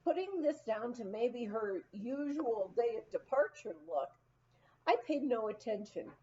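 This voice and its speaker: A woman reading a humorous memoir aloud as an expressive, animated storyteller, acting out the dialogue. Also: fairly high pitch, a little slow, dull audio. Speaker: audiobook reader.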